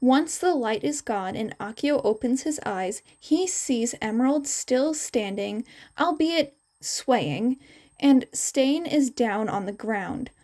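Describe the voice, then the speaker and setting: A woman's voice: a little high, lively intonation, slow pace, dark tone. A woman narrating a scripted story aloud as a podfic reader.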